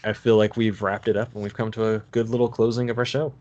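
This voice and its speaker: A man with a lowish voice talks fast and fires off dry quips in a casual podcast chat among friends. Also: little pitch movement, darkish tone, dull audio. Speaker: podcast co-host.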